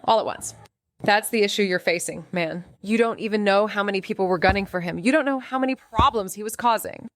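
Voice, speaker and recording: An actress playing a defiant young amateur sleuth in a noir audio drama, blunt and slangy, with anxious sardonic edge. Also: female voice, mid-range voice, no echo, studio quality, close to an everyday voice. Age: young adult. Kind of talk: noir audio drama dialogue and inner narration.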